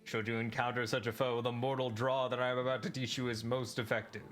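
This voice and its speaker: A man's voice: mid-range voice, level tone, quick pace, a bit bright, faint music behind, lightly acted. A man voicing a solemn ancient male warrior spirit who mentors, in grave, archaic and formal speech. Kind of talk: voicing a game character while streaming.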